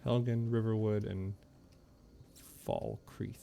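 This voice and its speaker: A man streaming a game with low-key, musing commentary, in a casual live style. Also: steady tone, takes it slowly, vocal fry, warm tone, a little hiss or hum. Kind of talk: casual live gameplay commentary, sometimes reading game text aloud. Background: faint game music.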